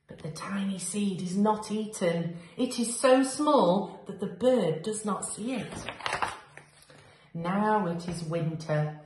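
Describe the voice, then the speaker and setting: A woman in her thirties, a school teacher, reading a picture book aloud to young children, climbing high for exciting moments and dropping soft for quiet ones. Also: reverberant, low-quality recording. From England. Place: small bright room at home.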